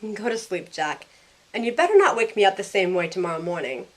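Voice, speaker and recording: Woman as young woman, medium pitch, wry, self-questioning, first-person storytelling, audio drama. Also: big pitch swings, a little fast, a little hiss or hum, close to an everyday voice, low-quality recording. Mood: teasing.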